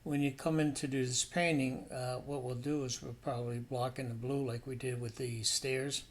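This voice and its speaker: A man's voice: medium pitch, normal speed. A middle-aged man giving a casual painting demonstration as an instructor, rambling and thinking aloud. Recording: clear steady background noise.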